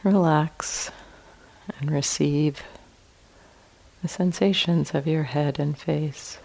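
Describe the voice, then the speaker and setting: A woman teaching a guided meditation in a calm, gentle manner with long pauses between phrases. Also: low-pitched, creaky, rough recording.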